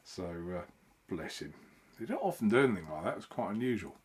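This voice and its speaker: Chatty man with lively pitch. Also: a little fast, slightly rough. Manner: chatty and rambling, greeting viewers by name. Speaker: live stream host. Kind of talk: casual live-stream chat. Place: at home.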